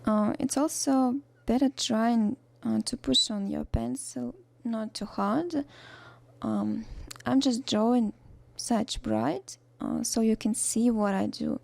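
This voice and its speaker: Soft, gravelly Russian-accented woman narrating. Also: on the high side. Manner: halting, with many fillers and restarts, soft-spoken. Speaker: art tutorial narrator. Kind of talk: voice-over narration of a drawing tutorial.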